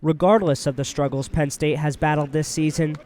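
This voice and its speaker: High-pitched young male sportscaster. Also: fairly even intonation, brisk, darkish tone, a little hiss or hum. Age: early 20s. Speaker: sports play-by-play broadcaster and reporter. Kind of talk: hockey play-by-play commentary and scripted sports news narration.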